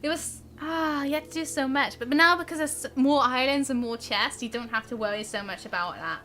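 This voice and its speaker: A woman's voice: sits high, a little fast, sharp tone, a little hiss or hum. A young woman chatting casually on a live game stream in a rambling, giggly way. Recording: game music faintly behind.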